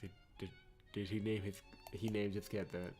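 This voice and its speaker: A man hosting a let's play, reading game narration aloud and breaking into excitable commentary. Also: fairly low pitch, normal speed, soft background music. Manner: excitable, exclamatory reactions.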